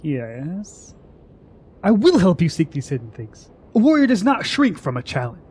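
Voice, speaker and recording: An actor playing a proud, stubborn warrior in a fantasy radio drama, speaking in a brusque, challenging way. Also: male voice, a little high, huge pitch swings, moderate pace, a little mellow, slightly rough, full character voice.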